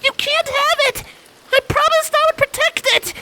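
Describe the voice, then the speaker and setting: A man voicing a small, frightened but defiant young filly pony in a story reading, with a shaky, squeaky voice. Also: fairly even intonation, moderate pace, noisy background. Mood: scared defiance. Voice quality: shaking, squeaky.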